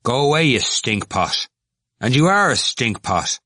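A man's voice: lowish voice, sing-song, a little slow, a bit bright, no room sound, studio quality, full character voice. A man voicing a rude, scornful young male student in an audiobook, blunt and mock-urbane. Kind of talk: audiobook character voice.

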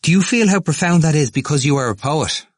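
Man putting on an excitable, high-pitched young student. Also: fairly quick, no room sound, clean studio sound. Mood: eager. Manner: eager, insistent, declamatory. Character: excitable, boastful young male student, eager and wounded. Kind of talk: audiobook character voice.

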